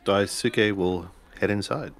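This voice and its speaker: A man playing a tabletop roleplaying game, narrating his character's actions haltingly as he searches for words. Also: lowish voice. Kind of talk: improvised third-person roleplay narration.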